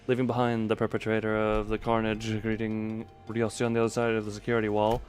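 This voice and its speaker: Male streamer reads a courteous, formal game character, hushed and measured, music behind. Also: fairly low pitch, completely flat delivery, lightly acted.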